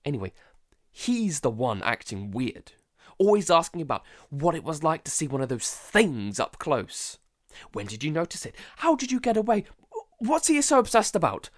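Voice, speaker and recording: A man playing a frightened, traumatised survivor in a halting, dramatic audio-drama monologue. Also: fairly high pitch, huge pitch swings, no echo, clean studio sound. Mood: irritated, defensive. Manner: halting, stammering, broken by sighs. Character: frightened, traumatised male survivor keeping a monster-apocalypse audio diary.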